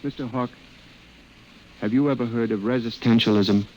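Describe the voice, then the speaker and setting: A man's voice: medium pitch, fairly even intonation, normal speed, darkish tone, muffled recording, slight background noise, lightly acted. An actor playing a frantic, haunted man who narrates his own nightmare of murderous machines, with intense theatrical delivery in an old-time radio drama. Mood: agitated, urgent. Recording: old radio recording with dramatic music behind.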